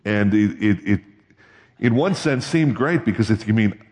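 A man's voice: lowish voice, expressive, moderate pace, darkish tone. A man giving a sermon in a conversational way, often checking in with the congregation with questions. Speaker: pastor. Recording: long hall reverb.

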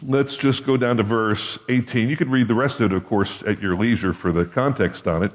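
A man's voice: fairly low pitch, fairly quick. A man giving a sermon in a conversational way, often checking in with the congregation with questions. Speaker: pastor. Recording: long hall reverb.

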